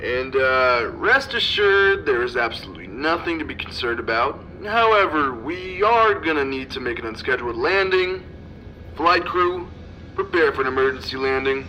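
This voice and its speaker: An actor playing an airline captain giving halting, official reassurances in a comic radio drama. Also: male voice, medium pitch, animated, bright, forceful tone, slight background noise, poor audio. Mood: falsely reassuring. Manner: halting, official announcer patter.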